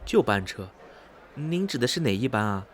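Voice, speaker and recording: A man's voice: mid-range voice, sing-song, moderate pace, close to an everyday voice. A man playing a polite young traveller in a radio drama, asking questions in an inquiring way. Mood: curious, polite.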